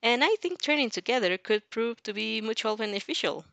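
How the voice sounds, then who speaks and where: A woman's voice: a little high, lively intonation, a little fast, creaky, fairly bright tone, no room sound. A woman hosting a fan fiction reaction, reading aloud expressively and breaking into casual, rambling, giggly asides. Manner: rambling, giggly, often interrupts herself with asides.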